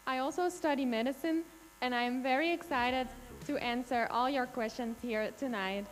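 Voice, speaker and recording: A woman's voice: high voice, moderate pace, big-room sound. A woman in her twenties from Belgium, introducing herself in a careful, formal way. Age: 20s. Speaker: pageant contestant.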